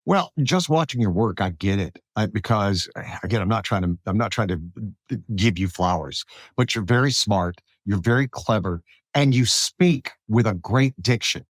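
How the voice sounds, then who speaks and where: Animated middle-aged man with swooping pitch. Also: moderate pace, dry recording, studio quality. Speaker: podcast host, radio veteran. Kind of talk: casual interview chat with anecdotes.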